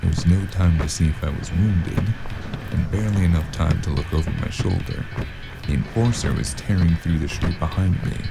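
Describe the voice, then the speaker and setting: A man playing a weary, sardonic investigator in a scripted cyberpunk noir audio drama, telling his story in a gravelly, cursing voice. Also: low voice, normal speed. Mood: tense, weary. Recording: music bed behind the voice.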